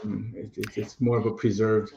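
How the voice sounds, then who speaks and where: A man's voice: lowish voice, little pitch movement, normal speed, gravelly, warm tone, a little hiss or hum. Chatty man co-hosting in a roomy space. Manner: chatty, rambling, many fillers and restarts. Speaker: co-host of a live chat on multilingual kids. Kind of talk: casual live chat. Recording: dull, roomy audio.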